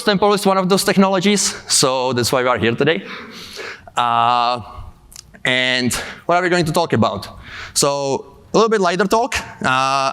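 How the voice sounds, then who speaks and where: A man's voice: mid-range voice, a bit bright. A man from the Czech Republic in his thirties, giving a conference tech talk in a casual way with many fillers, while he has a cold. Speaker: conference speaker.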